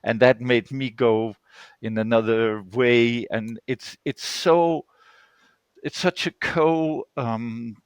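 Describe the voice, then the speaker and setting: An older man from Denmark with a Danish accent, telling personal stories in conversation and pausing to search for words on an online call. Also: medium pitch, fairly even intonation, clean tone, dry recording. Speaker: guest storyteller.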